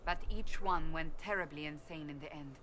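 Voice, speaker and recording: An actress voicing a proud, earnest warrior woman of the church in a video game, speaking formally and with measured gravity. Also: low-pitched, a bit bright, slight background noise, low-quality recording. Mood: grave warning. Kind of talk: video game dialogue acting.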